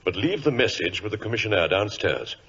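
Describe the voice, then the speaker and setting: An actor playing a grave, commanding senior government minister in a 1940s radio drama, solemn and confidential, with a husky voice. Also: male voice, on the low side, big pitch swings, fairly quick, a bit bright, exaggerated voice. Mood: solemn, secretive. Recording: muffled vintage radio recording.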